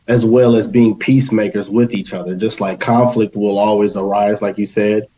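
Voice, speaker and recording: Male podcast guest, fairly low voice, conversational, slightly dull lo-fi recording. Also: dark tone. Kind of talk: conversational interview answers.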